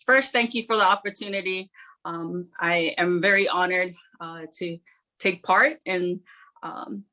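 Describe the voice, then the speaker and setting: Bright-voiced woman from New Zealand. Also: lowish voice. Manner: earnest, modest, reflective with frequent pauses. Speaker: interview guest, Air Force member. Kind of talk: personal interview, life story.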